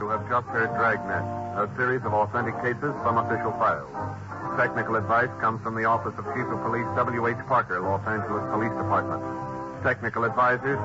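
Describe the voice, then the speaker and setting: A male radio announcer giving a formal, measured closing announcement. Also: lowish voice. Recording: muffled old radio broadcast with music.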